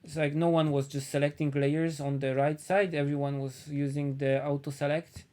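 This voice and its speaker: A man from Poland with a Polish accent, chatting casually on a live stream as he paints, thinking aloud with frequent pauses. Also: on the high side, level tone, mellow.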